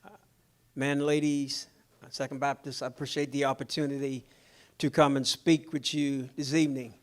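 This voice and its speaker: A middle-aged man from the Bahamas with a Bahamian accent, presenting a financial seminar in a measured, businesslike way and checking in with his audience. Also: mid-range voice, level tone.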